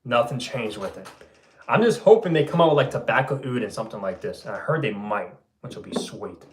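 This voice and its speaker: A young man hosting a fragrance livestream and reading out viewer comments in an easygoing way, his voice going a little hoarse. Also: medium pitch, expressive, fairly quick, roomy sound, noisy background. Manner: laid-back, loose, says bro and man a lot. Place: room at home.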